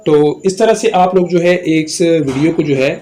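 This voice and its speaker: Man, Pakistani accent, high voice, tutorial narration, step-by-step host. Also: normal speed, dark tone. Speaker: host of a tech tutorial channel. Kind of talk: scripted-style phone app tutorial narration.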